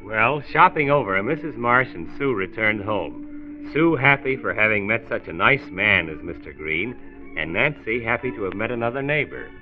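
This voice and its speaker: A man's voice: lowish voice, expressive, normal speed, lightly acted. A man narrating a scripted 1940s radio drama as its storyteller. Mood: storytelling. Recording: muffled vintage radio recording with music.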